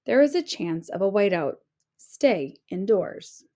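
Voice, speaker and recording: A woman reading an informational children's book aloud like a teacher, with clear, deliberate phrasing. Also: medium pitch, sing-song, dark tone.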